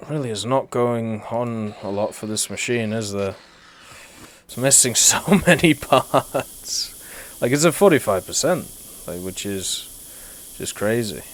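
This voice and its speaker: A young British man whose accent has softened over years in Canada, chatting casually while streaming a game and answering chat. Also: mid-range voice, measured pace, slight background noise. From Britain. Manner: laid-back, rambling, answers chat. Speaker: livestreamer. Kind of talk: casual gameplay commentary.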